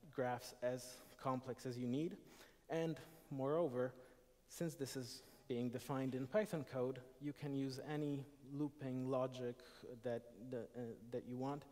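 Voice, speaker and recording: A middle-aged man speaks at a conference from a podium microphone, walking the audience through a technical idea. He talks at a moderate pitch, pausing for fillers and restarting phrases as he goes. His voice carries a long reverb from the large lecture hall. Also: warm tone. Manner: explanatory, frequent fillers and restarts.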